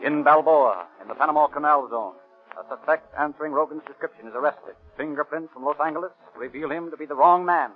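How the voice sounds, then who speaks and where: An American actor playing an urgent narrator of a 1930s police radio drama, with a clipped bulletin delivery. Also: male voice, on the high side, fast, close to an everyday voice. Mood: urgent, dramatic. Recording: tinny 1930s radio recording with music.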